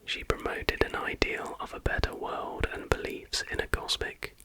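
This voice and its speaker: A man reading aloud in a soft, breathy, unvoiced whisper as an informal ASMR host. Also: moderate pace.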